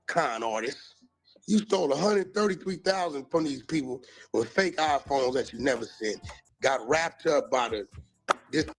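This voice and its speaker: A man's voice: mid-range voice, expressive, normal speed, soft background music. An American man in a slangy, emphatic monologue, calling people out in a confrontational way.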